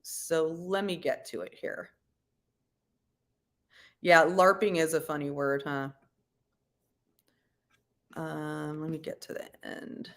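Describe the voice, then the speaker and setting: A woman hosting a livestream, giving commentary to viewers in a halting, deliberate, explanatory way. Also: low voice, fairly even intonation. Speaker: livestream host.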